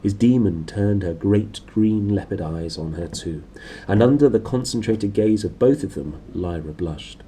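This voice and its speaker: A man's voice: lowish voice, a little slow, warm tone, a little hiss or hum, rough recording. A man in his thirties reading a storybook aloud expressively in a small room, recorded on a nearby camera. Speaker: storybook reader. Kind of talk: expressive read-aloud narration.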